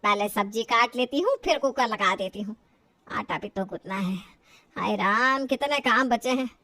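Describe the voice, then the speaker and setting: A woman's voice: on the high side, expressive, normal speed, bright, forceful tone, no echo, voice pushed down. A woman voicing a stubborn, elderly Indian mother-in-law who scolds and grumbles indignantly in a family storybook tale. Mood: grumbling. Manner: indignant, grumbling, talks to herself.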